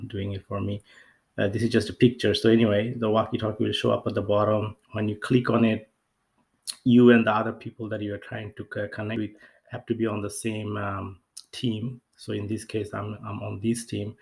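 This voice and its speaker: Low, even-toned man giving tutorial. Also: gravelly. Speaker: software tutorial presenter. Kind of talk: casual screen-share walkthrough, unscripted. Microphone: off-camera voice-over for screen recording.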